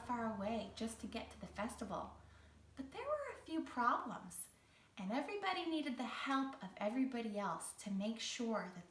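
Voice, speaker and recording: A woman speaking as a children's read-along host and teaching artist, in an encouraging, theatrical storytelling manner with carefully phrased lines. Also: on the high side. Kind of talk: guided exercise and storytelling for young children. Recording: roomy echo, distant from the mic.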